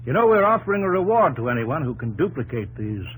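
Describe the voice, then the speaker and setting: Husky, bluff older businessman. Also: on the high side, very animated, fast, heavily acted, rough recording. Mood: hearty, probing. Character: bluff, skeptical older New England businessman, fatherly. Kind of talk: 1950s radio drama acting.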